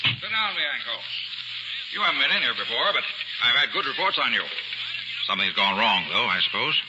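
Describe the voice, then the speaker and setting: A brisk, commanding prison warden whose voice rises and falls a lot, played by an actor. It plays through a muffled old radio broadcast heavy with hiss. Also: male voice, medium pitch, animated, voice pushed up, natural voice. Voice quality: brisk, authoritative. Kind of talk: 1940s radio drama acting.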